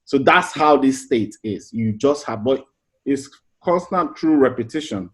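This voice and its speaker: A man's voice: medium pitch, animated, unhurried. A middle-aged man giving an informal motivational class as a life coach, earnest and conversational, often restarting phrases and asking rhetorical questions.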